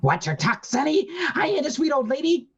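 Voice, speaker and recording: Woman putting on a swooping, high-voiced tough gangster boss. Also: very animated, fairly quick, muffled recording. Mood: gruff, defiant. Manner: slangy tough-guy talk. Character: gruff menacing male gangster boss, tough talker. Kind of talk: hard-boiled radio play acting.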